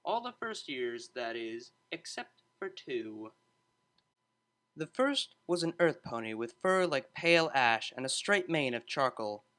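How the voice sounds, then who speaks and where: A man's voice: medium pitch, sing-song, unhurried, bright, forceful tone. A man reading a scripted story aloud as an audiobook narrator.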